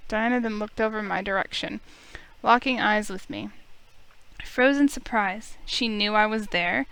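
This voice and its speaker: A woman reading a visual novel aloud as an expressive, dramatic narrator. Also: medium pitch, normal speed, creaky, smooth, low-quality recording. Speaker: let's play streamer. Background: music in the background.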